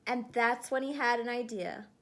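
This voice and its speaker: A woman reading a children's picture book aloud in an expressive storytelling style. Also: on the high side, moderate pace. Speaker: storybook reader.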